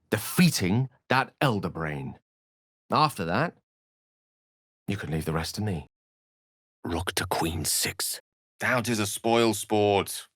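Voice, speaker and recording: An actor playing a learned, wry wizard adventurer in a video game, with a British accent and an eloquent, theatrical way of talking. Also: male voice, low voice, fairly bright tone, no echo, clean studio sound, exaggerated voice. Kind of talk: video game character voice acting.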